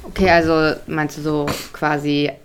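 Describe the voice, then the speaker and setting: A woman hosts the podcast and asks calm follow-up questions. Her voice sits low for a woman. A faint hiss runs under the recording. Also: unhurried. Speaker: podcast host, interviewer. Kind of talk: interview questions.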